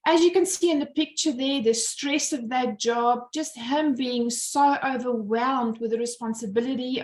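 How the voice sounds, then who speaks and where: South African woman in her 50s, earnest storyteller with rhetorical questions, slightly high voice, echoey room. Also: darkish tone. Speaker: business owner giving a presentation. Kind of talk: personal-story presentation. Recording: roomy echo.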